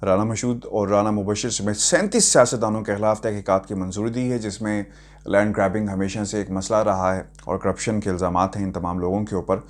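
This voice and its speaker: Male political commentator, hesitant delivery with long pauses, addressing an online audience. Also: lowish voice, steady tone. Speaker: political commentator and video host. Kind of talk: news commentary to online viewers.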